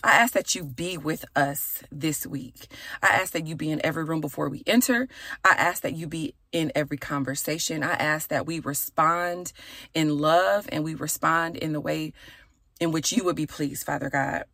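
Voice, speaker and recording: A woman in her thirties hosting a podcast from her car, chatty and raspy, saying a prayer for her listeners. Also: sits low, normal speed, no echo, clean studio sound. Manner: chatty and warm, with "y'all" and "okay". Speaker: podcast host. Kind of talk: casual chat with prayer.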